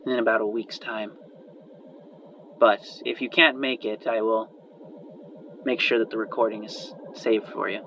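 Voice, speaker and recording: A man's voice: on the low side, steady tone, measured pace, long pauses, sharp tone, slight background noise. A man as an eager, rambling female inventor, muffled. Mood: subdued, worried. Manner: hesitant, rambling, trailing off and sighing. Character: eager, chatty female inventor, worried and loyal. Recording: muffled band-limited audio under music.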